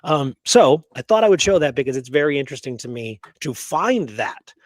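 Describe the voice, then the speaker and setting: Male history host, mid-pitched, conversational and emphatic, casual research chat in a dry recording. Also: big pitch swings, a little fast, dry recording.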